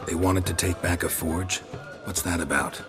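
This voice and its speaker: An actor playing a gruff, stoic monster hunter in a video game, with terse, deadpan lines in a low, gravelly voice. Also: male voice, normal speed, over music, natural voice. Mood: flat, probing. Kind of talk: video game dialogue acting.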